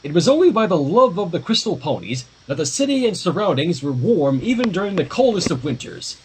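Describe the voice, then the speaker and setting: A man's voice: high voice, sing-song, fairly quick, a little hiss or hum, rough recording. A man reading a story aloud informally on a livestream, dropping in casual asides. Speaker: livestream fan fiction reader.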